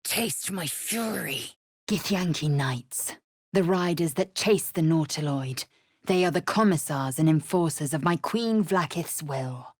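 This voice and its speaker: A woman playing a fierce, proud, disdainful young githyanki warrior in a video game, speaking in a husky, breathy, imperious and clipped way. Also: sits low, expressive, no room sound, studio quality, heavily acted. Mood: furious. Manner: imperious, clipped, deliberate, formal.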